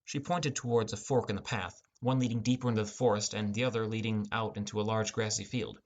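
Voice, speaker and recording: A man's voice: lowish voice, steady tone, fast talker. A young man reading a first-person fantasy audiobook as a scripted narration.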